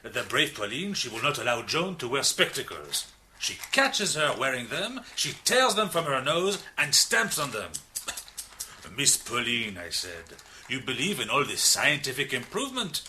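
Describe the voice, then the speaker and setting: An actor playing a big, expansive, reformed French criminal in a radio drama, with a French accent and an effusive, theatrical manner. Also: male voice, mid-range voice, big pitch swings, moderate pace, bright, forceful tone, slight background noise. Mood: chatty, animated. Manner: effusive, theatrical, with hesitant asides.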